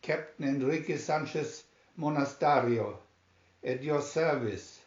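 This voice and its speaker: A man's voice: mid-range voice, measured pace, echoey room, lo-fi sound, close to an everyday voice. A man voicing a formal, condescending and sneering Spanish military commander in a storybook. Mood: formal greeting. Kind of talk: storybook character reading.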